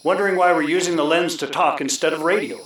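Wry, higher-voiced patrol comrade played by a man. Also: fast, fairly bright tone, lightly acted. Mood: wry warning. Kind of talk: science fiction radio drama acting. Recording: distant, echoey, faint noise.

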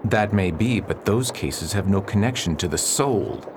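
An actor playing an earnest, troubled married preacher in a radio drama, formal and restrained in manner. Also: male voice, on the low side, expressive, moderate pace. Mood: stern, restrained. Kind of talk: period stage-style radio drama acting. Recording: noisy, rain-like background hiss.

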